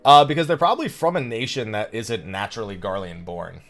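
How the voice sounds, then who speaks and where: A man live streaming a game, commenting with excitable interjections and sarcastic asides and reading dialogue aloud. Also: on the low side, brisk.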